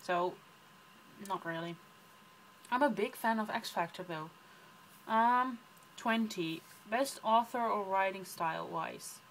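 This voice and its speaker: A young Dutch-accented woman rambles cheerfully through vlog questions, filling pauses with plenty of ums. Her pitch sits in an ordinary middle range for a woman. A faint hiss of background noise runs under the recording. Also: a bit bright, low-quality recording. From Netherlands. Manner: chatty, rambling, many ums. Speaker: book vlogger. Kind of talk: casual chat answering tag questions.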